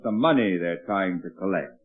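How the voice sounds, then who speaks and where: A man's voice: low-pitched, expressive, moderate pace, breathy edge, phone-quality sound. An actor playing a genial, reassuring middle-aged father in an old-time radio sitcom. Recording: thin, band-limited vintage radio recording.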